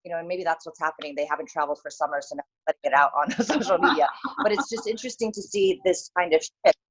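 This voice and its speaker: A woman from Saudi Arabia, a guest in a video-call interview, talking in animated bursts with frequent laughs and fillers. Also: lowish voice, breathy edge, low-quality recording. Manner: animated, laughs often, talks in quick bursts with many fillers. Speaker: interview guest, author and consultancy founder.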